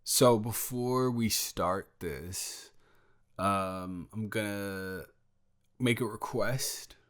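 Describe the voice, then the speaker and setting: A man playing a sheepish, evasive young male roommate in an improvised audio roleplay, halting and rambling as he talks. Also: fairly low pitch, smooth. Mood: nervous, hedging. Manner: halting, rambling, trailing off into restarts.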